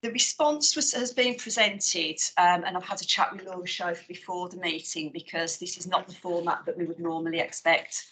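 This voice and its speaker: A woman with a West Midlands English accent chairing a formal committee meeting, working through the agenda in a measured, procedural way with frequent fillers. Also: on the low side, pushed and bright, low-quality recording.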